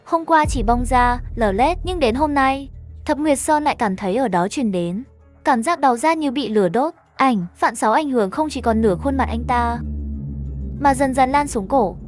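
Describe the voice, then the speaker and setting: Woman, horror story narrator, high voice, scripted delivery, music behind. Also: lively intonation, moderate pace.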